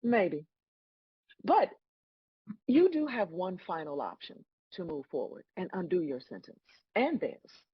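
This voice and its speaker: A woman playing God as a stern, composed judge, delivering measured, scolding lines in a dramatic script read. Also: on the low side, big pitch swings, husky, no room sound, dull audio, exaggerated voice. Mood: firm, measured.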